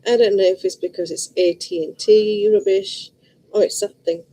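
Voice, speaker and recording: A woman's voice: mid-range voice, sing-song, normal speed, warm tone, poor audio. A middle-aged woman with a Liverpool accent, chatting casually and bluntly to her viewers in a rambling way. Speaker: vlogger. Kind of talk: casual chat to camera.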